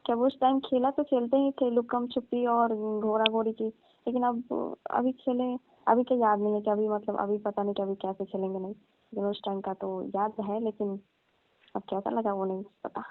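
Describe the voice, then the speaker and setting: Over a thin, phone-like line comes a woman acting as a coy young female cousin. Her voice is on the low side, and she chats in a colloquial, teasing and bashful way, as in a staged phone call. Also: little pitch movement, moderate pace, dry recording, soft background music, natural voice. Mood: coy reminiscing. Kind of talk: staged casual phone chat.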